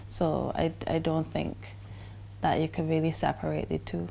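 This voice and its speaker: A young woman with a low, warm voice, talking in a casual, loose way. Her sentences ramble along, strung together with "like" and "you know.". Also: flat delivery, normal speed, vocal fry, slight background noise. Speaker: interviewee. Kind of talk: answering interview questions.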